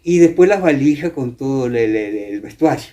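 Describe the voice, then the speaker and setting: An older man with an Argentine accent from Entre Ríos, reminiscing in a conversational interview, haltingly searching for words and repeating himself. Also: mid-range voice, expressive, warm tone. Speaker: interviewee, former radio theatre actor.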